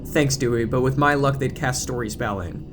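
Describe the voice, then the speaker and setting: A troubled teenage girl played by a man speaks in a subdued, weary and wry way. The horror drama line sits over clearly audible music. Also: medium pitch, normal speed, close to an everyday voice.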